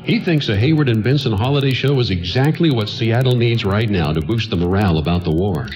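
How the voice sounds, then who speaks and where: A man's voice: fairly low pitch, lively intonation, brisk, a little mellow, lightly acted, rough recording. An actor playing a harried, good-natured father and producer in a radio play, speaking with theatrical, exasperated emphasis. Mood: distracted.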